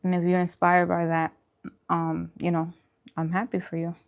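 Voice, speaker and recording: A woman's voice: lowish voice, completely flat delivery, normal speed, clean tone, phone-quality sound. A woman food vlogger talking casually and reflectively to her viewers in a conversational, encouraging way. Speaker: vegan food vlogger and host. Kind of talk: casual mukbang chat to camera.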